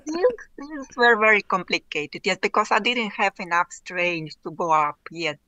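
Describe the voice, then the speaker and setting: Woman guest, Russian accent, bright clear tone, dry close sound, restarts often. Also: mid-range voice, lively intonation, no room sound, rough recording. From Ukraine. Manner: halting non-native English, frequent restarts, laughs often. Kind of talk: casual interview chat.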